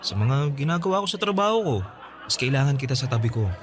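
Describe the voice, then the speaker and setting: A man's voice: mid-range voice, animated, quick pace, lightly acted. A man acting as a sweet, devoted young fiancé in a radio drama, speaking in an affectionate, reassuring way. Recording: music and crowd behind dialogue.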